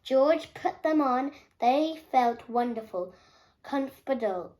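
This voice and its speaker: A young girl with a high voice reads a picture book aloud. Her pace is extremely slow and careful, and she halts over words, repeats them and corrects herself as she goes. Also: animated, a little mellow. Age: child. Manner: halting, careful reading with stumbles and self-corrections. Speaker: young reader.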